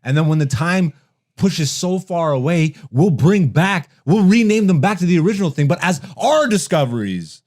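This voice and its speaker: Higher-pitched, animated man ranting and cursing. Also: moderate pace. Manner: profane, brash, laughs through his words. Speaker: comedy podcast host. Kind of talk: casual comedic rant and chat.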